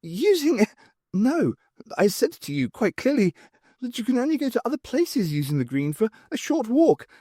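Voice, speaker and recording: A performer voicing an earnest, wistful male alien with a high-pitched voice and a literal, emphatic manner in an audiobook. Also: big pitch swings, moderate pace, dark tone, slightly rough, no room sound, studio quality. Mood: earnest, insistent.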